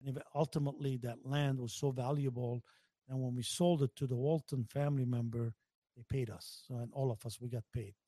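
A man chatting casually, telling stories haltingly and repeating words for emphasis. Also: mid-range voice, fairly even intonation, mellow, no room sound. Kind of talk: casual storytelling chat.

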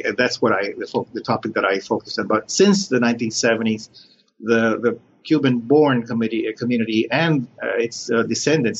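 A middle-aged man from Cuba and Puerto Rico, an academic guest explaining his research in measured, halting phrases with frequent pauses. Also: medium pitch. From born in Cuba, raised in Puerto Rico. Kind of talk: explanatory interview talk. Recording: dull lo-fi sound with background noise.

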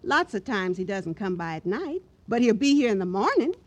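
A woman's voice: medium pitch, very animated, moderate pace. An actress playing a worried, dignified middle-aged mother in old radio drama, speaking with polite earnestness. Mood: anxious, earnest. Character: anxious, dignified, devoted mother of a grown son.

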